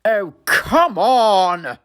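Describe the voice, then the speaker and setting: A woman's voice: medium pitch, animated, extremely slow, smooth. A woman playing a blustering, sceptical villager, pompous and dismissive, in an audio drama. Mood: scornful.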